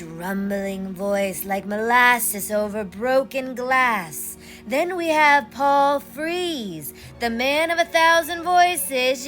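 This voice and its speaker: A woman hosting a horror radio show with scripted, theatrical, measured commentary. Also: high voice, a bit bright, low-quality recording. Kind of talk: scripted spooky host commentary.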